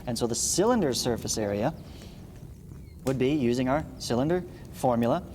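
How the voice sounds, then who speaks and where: A man's voice: medium pitch, darkish tone. A man giving a read-along lesson as a teacher, reading aloud and breaking off for informal, folksy explanations. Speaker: math teacher. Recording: echoey room, clearly audible background noise.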